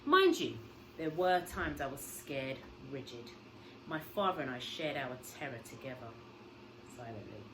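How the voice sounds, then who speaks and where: A woman reading a children's novel aloud like a schoolteacher, with expressive, dramatic storytelling. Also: a bass voice, measured pace, background noise.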